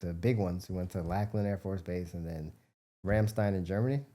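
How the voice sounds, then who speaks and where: This is an American man with a lowish, very mellow and relaxed voice. He speaks as a guest in a casual interview. His answers are informal and wander as he explains himself. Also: moderate pace. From United States, Midwest. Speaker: interview guest, biomedical researcher and entrepreneur.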